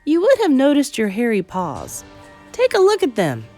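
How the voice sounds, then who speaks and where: Woman playing sensible boy-mouse, raised lively pitch. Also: normal speed, soft background music, heavily acted. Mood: matter-of-fact. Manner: earnest, matter-of-fact explaining and calling out. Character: chirpy, sensible young boy turned mouse, reassuring.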